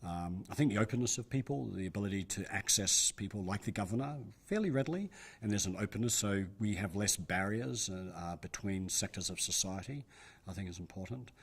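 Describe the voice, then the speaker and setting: A middle-aged man gives interview answers in a deep, low-pitched voice. He talks quickly and thinks aloud, hedging his points as he goes. He sounds like a business advisor weighing his words. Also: expressive, slightly rough. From New Zealand. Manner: thoughtful, hedging with frequent "I think" and "you know".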